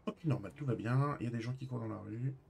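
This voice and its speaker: A man's voice: mid-range voice, fast, gravelly, background noise. Man, mid-range voice, casual and hesitant streamer roleplay chat in short trailing phrases. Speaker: streamer playing a character in online roleplay.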